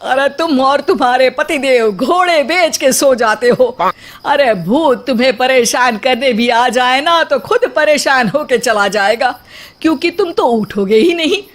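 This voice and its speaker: A woman's voice: sits high, animated, unhurried. A woman voicing an anxious, complaining middle-aged mother-in-law with a melodramatic comic manner in a family story. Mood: exasperated.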